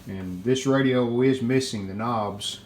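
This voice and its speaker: An older man giving a hands-on repair walkthrough in plain-spoken, halting phrases. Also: medium pitch, darkish tone, slight background noise.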